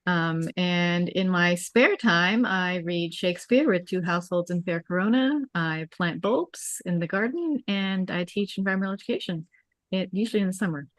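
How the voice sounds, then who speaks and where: A woman's voice: fairly low pitch, normal speed, dry recording. A woman taking part in an online table read, speaking plainly as a participant and reader. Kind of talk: casual introduction and scripted narration.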